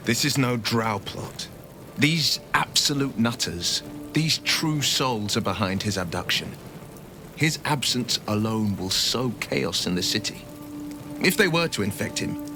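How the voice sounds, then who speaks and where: A man playing an earnest, eloquent young nobleman monster hunter in dramatic game dialogue, burdened by his past. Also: medium pitch.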